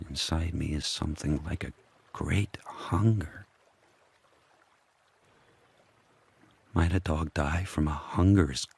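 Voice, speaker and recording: A man playing a fierce, brooding sled dog turned pack leader, telling his tale in terse, deliberate phrases in an audio drama. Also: a little mellow, full character voice. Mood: grieving, weary. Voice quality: very deep, husky. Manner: terse, deliberate first-person narration in short phrases.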